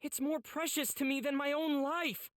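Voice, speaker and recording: A man's voice: extremely high pitch, little pitch movement, normal speed, a bit bright, roomy sound. An actor playing an earnest, protective teenage demon slayer boy in an anime game, speaking with resolve and reassurance. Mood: gentle resolve. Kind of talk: dubbed anime game acting.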